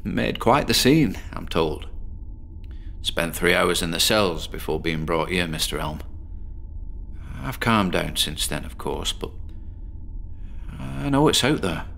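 A paranoid patient in a horror audio drama, hunted by something he swears is still out there. He drags his words out slowly and hesitantly. His voice sits fairly low and turns jumpy and rushed under pressure. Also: lively intonation, creaky, fairly bright tone, a little hiss or hum, exaggerated voice. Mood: haunted. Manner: slow halting speech breaking into panic. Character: terrified paranoid male patient haunted by a creature.

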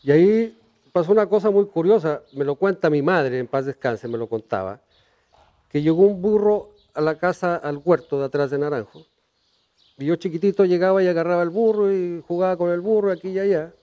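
A Chilean man in his fifties, a horse trainer, telling stories in an outdoor ranch interview, trailing off softly and restarting his phrases. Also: high voice, fairly quick, lo-fi sound. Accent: Chilean Spanish with Mexican influence. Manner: warm storyteller, many restarts, trails off softly. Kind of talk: storytelling interview. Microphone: lapel.

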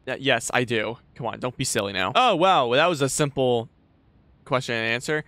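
A young man giving casual, expressive let's play commentary with some swearing, close on a desk microphone. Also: mid-range voice, fairly quick, no room sound. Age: young adult. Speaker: gaming video host. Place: small indoor gaming room. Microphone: close boom-arm desk microphone. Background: game audio and music.

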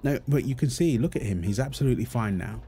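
Gentle young husband and father, played by a male actor for audio roleplay: affectionate, reassuring, animated intonation, medium-pitched voice. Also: fast, faint music behind, close to an everyday voice. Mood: reassuring, cheerful. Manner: soothing, affectionate, coaxing. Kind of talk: intimate comfort roleplay, casual one-sided dialogue.

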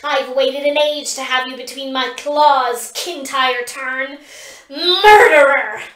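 A furious young dragon spits out hissing, snarling accusations, as a woman puts it on. The voice rides high and bright, and a roomy echo hangs around it. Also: flat delivery, normal speed. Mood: vengeful. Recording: echoey room.

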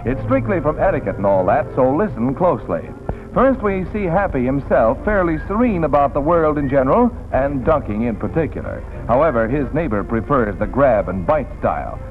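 A man's voice: mid-range voice, sing-song, normal speed. A man with an American accent narrating a 1940s newsreel in a dramatic, declamatory announcer style. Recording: tinny vintage film soundtrack, music behind. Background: newsreel music.

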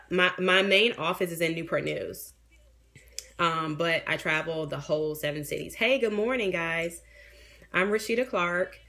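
A woman in her thirties, a realtor, chatting frankly with viewers on a phone livestream, her voice a bit raspy from a sinus infection. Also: lowish voice, a bit bright. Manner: frank, chatty, reads out and answers viewer comments. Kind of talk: casual livestream chat with viewers. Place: small room indoors. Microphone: phone front camera at arm's length, neckband headset.

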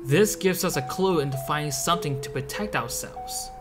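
Video essay narrator, medium pitch, scripted explanatory read, prominent music bed underneath. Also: moderate pace. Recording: loud background music. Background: loud music.

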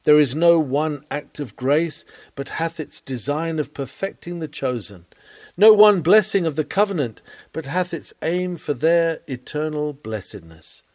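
A man reading an old sermon aloud in an oratorical preaching style, solemn, measured and emphatic. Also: on the high side, a little mellow, no room sound. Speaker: sermon reader.

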